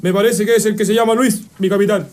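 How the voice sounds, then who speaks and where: A man's voice: high-pitched, steady tone, fast, close to an everyday voice. A man with a Chilean accent playing an obedient, cruel army corporal in a radio drama.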